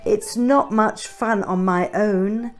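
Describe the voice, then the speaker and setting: A woman's voice: mid-range voice, fairly even intonation, slow, faint music behind, close to an everyday voice. A woman voicing a bossy, insistent young schoolgirl in a storybook, with a demanding, emphatic delivery. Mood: whimpering, sad.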